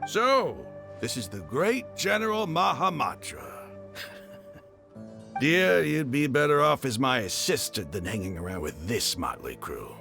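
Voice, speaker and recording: An actor playing a zealous desert mercenary leader in a video game, gravelly, grandiose and mocking, declaiming like a villain. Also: male voice, mid-range voice, big pitch swings, measured pace, sharp tone, soft background music, exaggerated voice. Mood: smug, mocking.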